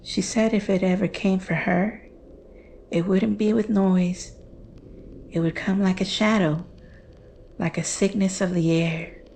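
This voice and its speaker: A woman playing a grieving, haunted daughter who recounts her dread in a horror drama, halting and deliberate. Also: mid-range voice, steady tone, gravelly, darkish tone, slight background noise. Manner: halting, deliberate, with weighty pauses. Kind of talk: slow dramatic horror monologue.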